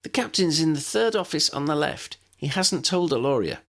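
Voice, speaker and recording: A man's voice: fairly high pitch, animated, moderate pace, a bit bright, voice pushed up. A man voicing an eerie young girl with flashes of insight, terse and cryptic, in an audiobook story. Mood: intense, faltering. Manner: hissing, cryptic, brief.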